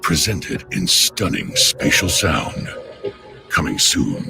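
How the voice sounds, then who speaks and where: Male announcer, extremely deep, gravelly, dramatic scripted delivery, loud music bed. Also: big pitch swings, takes it slowly. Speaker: trailer announcer. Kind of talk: scripted dramatic trailer narration.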